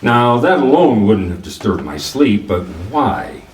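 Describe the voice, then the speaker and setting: An actor playing a cool, self-assured private detective in the manner of 1940s radio drama, narrating and sparring wryly. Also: male voice, lowish voice, very animated, a little slow. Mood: weary wry. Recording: roomy echo, distant from the microphone.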